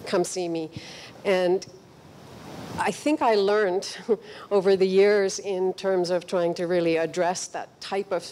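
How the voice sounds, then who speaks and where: A middle-aged woman speaking as a panelist in a measured, considered way, feeling for her phrasing, into a handheld microphone on stage. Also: lowish voice, steady tone, darkish tone, a little hiss or hum. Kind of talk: considered panel discussion talk. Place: stage of a cinema auditorium.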